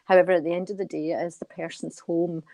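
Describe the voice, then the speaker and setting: A middle-aged woman giving thoughtful, measured interview answers, often repeating a word as she goes. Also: fairly low pitch, little pitch movement, vocal fry, mellow, no echo. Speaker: guest, senior nurse and charity committee member.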